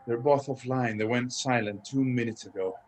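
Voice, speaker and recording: A man's voice: mid-range voice, fairly even intonation, moderate pace, lightly acted. A man playing a dutiful army sergeant at a command post in a screenplay table read, reporting formally and plainly. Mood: matter-of-fact.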